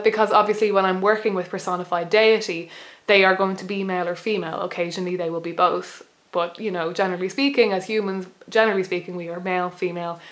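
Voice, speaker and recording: A young Irish woman in her twenties, bilingual, talking to camera in a casual, reflective way with many pauses, restarts and small laughs. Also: fairly low pitch. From Ireland. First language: bilingual Irish speaker. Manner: hesitant, many ums and restarts, soft laughs. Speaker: pagan video blogger.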